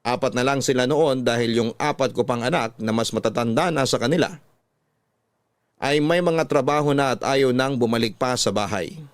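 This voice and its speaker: A man's voice: medium pitch, moderate pace, clean tone, no echo. A man with a Filipino accent reading a dramatic first-person story as a radio storyteller.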